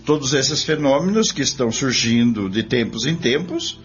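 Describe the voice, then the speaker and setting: Earnest courteous leader acted by a man, Brazilian accent, lively intonation, formal delivery, faint music, radio drama. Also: medium pitch, close to an everyday voice. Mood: solemn, approving. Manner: formal, deliberate reading. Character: earnest, courteous male leader of a spiritist group. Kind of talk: amateur radio drama acting. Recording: dull lo-fi, music behind.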